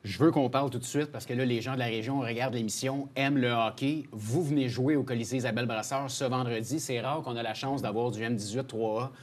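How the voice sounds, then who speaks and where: Fast-talking Quebec French man hosting. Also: mid-range voice, fairly even intonation. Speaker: sports show host. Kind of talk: informal interviewing.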